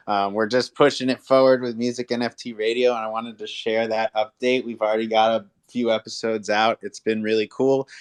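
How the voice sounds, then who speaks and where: A man with a fairly low voice and a flat, steady melody. He co-hosts a live audio chat, pitching things in casual, explanatory talk and often checking in with his listeners. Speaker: co-host.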